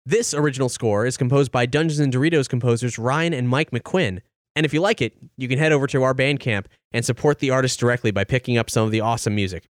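A man's voice: mid-range voice, fast talker. A man hosting a podcast, opening the show in an upbeat, casual announcer manner. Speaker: podcast host.

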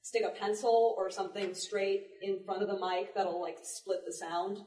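The room echoes around a woman speaking a little away from the mic. She has a medium-pitched voice and gives casual advice as a panelist. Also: flat delivery, normal speed, dark tone, far from the mic. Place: public library panel room.

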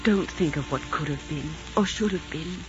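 A woman's voice: sits low, moderate pace, faint music behind, close to an everyday voice. 1940s radio drama: woman as tragic devoted queen, proud and earnest, theatrical delivery, muffled period sound. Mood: tender. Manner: theatrical, earnest, formal phrasing.

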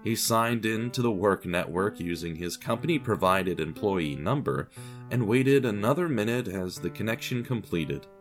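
A man with a fairly low voice narrates an audio drama. His pitch moves a great deal as he tells the story, and soft music plays underneath. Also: lively intonation, normal speed. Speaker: narrator of an audio fiction podcast.

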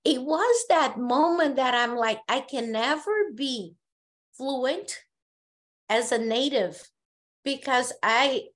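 Brazilian woman, portuguese accent, kinda high voice, earnest storyteller who restarts a lot. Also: expressive, takes it slowly. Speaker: therapist and podcast guest. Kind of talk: casual conversation.

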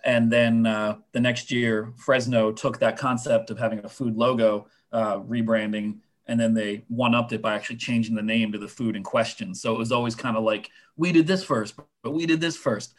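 A man whose voice is going hoarse, chatting casually on a sports podcast with lots of fillers and restarts. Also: medium pitch, steady tone, moderate pace, hardly any pauses. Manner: rambling, many fillers and restarts. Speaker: minor league baseball writer guest.

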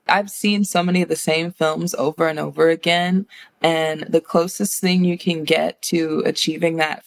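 A woman's voice: low-pitched, vocal fry. An American woman speaking as an interview guest, reflective and conversational, thoughtful in how she phrases things. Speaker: interview guest, horror writer and director.

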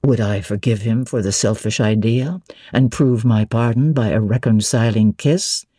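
A woman voicing a brooding gentleman bridegroom in a classic novel reading, wounded, coaxing and indignant. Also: a bass voice, moderate pace, dry recording, clean studio sound, pitched below the performer's own voice.

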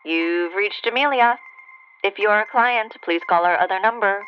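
A woman's voice: medium pitch, moderate pace, loud music behind the voice. An actress playing a curt, unhelpful woman's recorded greeting through an answering machine filter in an audio drama. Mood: curt, dismissive. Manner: brusque, dismissive. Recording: muffled, noisy answering machine tape.

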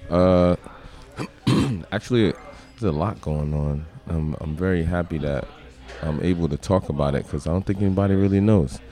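A man with a deep voice, a guest musician in a podcast interview at a crowded restaurant, talking in laid-back slang and swearing casually. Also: normal speed, mellow.

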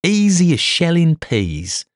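A man's voice: mid-range voice, very animated, moderate pace, dry recording, clean studio sound, pitched above the performer's own voice. A man voicing a lazy, foul-tempered police detective who grumbles and blusters coarsely. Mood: blustering.

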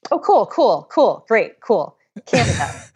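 A woman's voice: on the high side, big pitch swings, measured pace, darkish tone, husky. A woman co-hosting a podcast in casual, easygoing banter, recorded on a portable mic plugged into a phone. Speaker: podcast co-host. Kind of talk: casual chat.

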